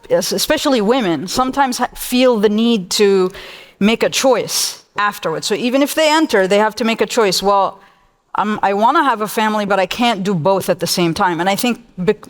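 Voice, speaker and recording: American lady panelist explaining stuff, mid-pitched voice, roomy hall reverb. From United States. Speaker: panelist, space sector executive.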